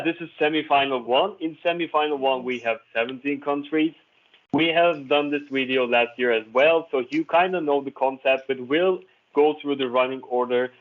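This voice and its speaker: The sound is narrow and tinny, like a phone line. A man with a medium-pitched voice hosts a casual group discussion. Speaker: host.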